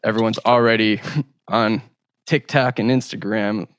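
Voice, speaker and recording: A man's voice: fairly low pitch, steady tone, a little slow, dry recording. A man hosting a podcast interview, speaking conversationally in a thoughtful, articulate way.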